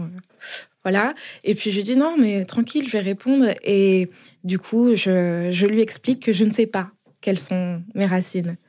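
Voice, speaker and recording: Woman, thoughtful mid-pitched voice. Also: a little mellow. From Paris suburbs, France. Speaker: podcast host. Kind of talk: conversational personal account.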